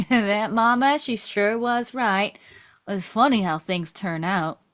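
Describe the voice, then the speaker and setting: A woman's voice: mid-range voice, slow pace, a bit bright. Woman reading as simple, sincere Southern man. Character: Forrest, simple sincere Southern man telling his story. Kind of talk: table read of a film script.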